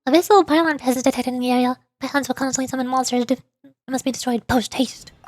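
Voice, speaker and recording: Very high-pitched woman streaming a game. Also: steady tone, quick pace, dry recording, studio quality. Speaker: streamer, vtuber. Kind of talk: casual gameplay commentary. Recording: game music behind the voice. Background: faint game music.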